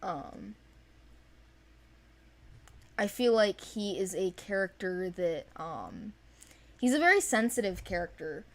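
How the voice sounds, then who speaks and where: A woman's voice: medium pitch, big pitch swings, slow, pauses often. A woman chatting casually and enthusiastically about characters, rambling in an informal way. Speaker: animation project team member. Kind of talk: casual chat discussing characters.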